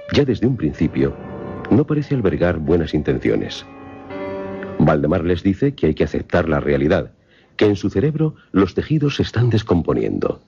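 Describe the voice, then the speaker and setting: A man with an extremely deep, dark voice narrates a scripted film synopsis. He is formal and dramatic, in a slightly muffled voice-over with music underneath. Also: vocal fry, husky.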